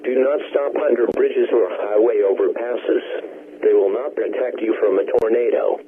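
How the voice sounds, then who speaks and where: A man reading a formal emergency weather bulletin in a measured, impersonal, automated-sounding way. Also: on the low side, steady tone, a little hiss or hum. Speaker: weather warning announcer. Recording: tinny narrowband weather radio.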